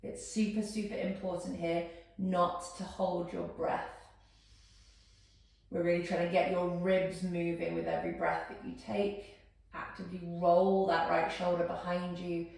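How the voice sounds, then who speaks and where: Calm woman cueing stretches, echoey room. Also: fairly low pitch, a little slow, vocal fry, far from the mic. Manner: calm step-by-step cueing, counting down. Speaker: posture instructor. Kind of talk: guided exercise instruction.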